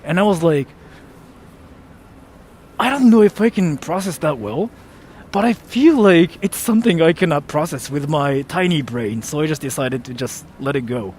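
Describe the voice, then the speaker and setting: A man's voice: on the high side, expressive, moderate pace, a little mellow, breathy edge. Young American man chatting on stream. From United States. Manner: joking, self-mocking, reads chat aloud. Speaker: streamer reading donations. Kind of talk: casual live stream chat.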